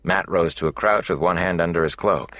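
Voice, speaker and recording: A man narrating a fantasy novel as an audiobook in a measured, dramatic way. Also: low-pitched, a bit bright, dry recording. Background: faint music.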